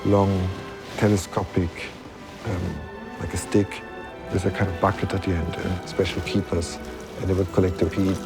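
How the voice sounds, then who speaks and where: Deep-voiced man being interviewed. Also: flat delivery, normal speed, over loud music. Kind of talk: conversational interview.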